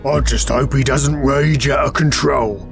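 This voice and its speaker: A man's voice: medium pitch, fairly even intonation, unhurried, music in the background, voice pushed up. A man voicing a crude, snarling veteran sergeant, a huge mutant bull-man, in an audio story. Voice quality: gravelly, snarling. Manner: crude, gruff.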